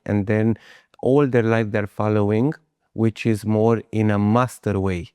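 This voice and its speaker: Smooth, lowish-voiced male podcast host, non-native speaker. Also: a little mellow, dry recording, studio quality. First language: not English. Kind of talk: conversational interview questioning.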